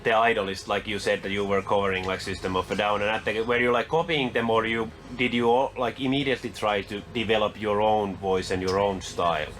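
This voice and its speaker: A man's voice: on the low side, steady tone, fairly quick, hardly any pauses, a bit bright, a little hiss or hum. A man interviewing a musician, asking long questions loosely strung with "like". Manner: loose, many "like" fillers.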